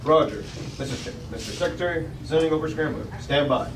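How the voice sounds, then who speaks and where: A man's voice: a little high, fast talker, crowd noise, lightly acted. A man reading echoey space station radio operator. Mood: businesslike. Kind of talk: table read of a sci-fi script. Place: restaurant dining room table. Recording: distant, echoey, noisy restaurant.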